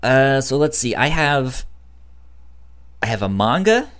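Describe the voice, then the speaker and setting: A man's voice: mid-range voice, big pitch swings, takes it slowly, fairly bright tone. A man chatting casually on a podcast, with a frequent stutter that repeats syllables and catches on words. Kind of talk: casual podcast chat, giving recommendations.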